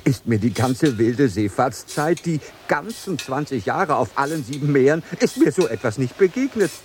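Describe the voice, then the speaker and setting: Ein Schauspieler spielt im Hörspiel einen verliebten Seekapitän, der zärtlich, verträumt und stockend spricht. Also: male voice, mid-range voice, very animated, moderate pace, a little hiss or hum, natural voice. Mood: wistful. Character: love-struck sea captain, twenty years at sea. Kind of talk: radio drama acting.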